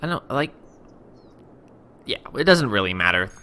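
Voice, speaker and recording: A young man talks through a city-building game in a rambling, self-interrupting way. He has just woken up, so his voice sits lower than usual, yet it stays bright and crisp. His pitch swings widely as he chats. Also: very animated, moderate pace. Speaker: gaming video host. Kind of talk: casual let's-play commentary.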